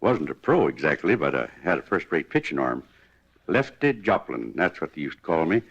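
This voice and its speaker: A folksy, rambling cafe owner. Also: male voice, a bass voice, big pitch swings, normal speed, slightly rough, exaggerated voice. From Kansas. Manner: rambling, homespun, plain-spoken. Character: folksy, talkative wounded cafe owner, former pitcher. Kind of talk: 1950s radio drama acting. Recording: dull vintage 1950s radio broadcast.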